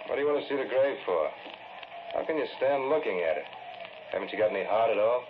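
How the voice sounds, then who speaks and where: A man's voice: fairly low pitch, animated, quick pace, lightly acted. An actor playing a stern, skeptical lawman escorting a prisoner, blunt and reproachful, in 1940s radio drama style. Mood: reproachful, impatient. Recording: muffled, noisy 1940s radio recording.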